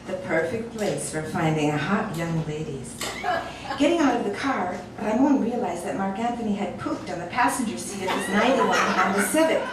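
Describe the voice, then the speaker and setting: A woman reads a comic short story aloud with wry amusement, her pitch lively and bouncing. She sounds set back from the mic in a very echoey room, with steady noise underneath. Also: distant mic. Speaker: narrator reading a short story. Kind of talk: audiobook story reading.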